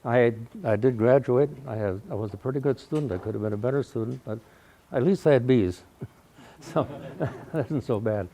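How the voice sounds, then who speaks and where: A man around ninety with a mellow voice, telling his life story to a live audience in a folksy way. He speaks loudly because he has no microphone and often clears his throat. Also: medium pitch, normal speed. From Wausau, Wisconsin, USA. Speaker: guest speaker. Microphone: no microphone, recorded in the room.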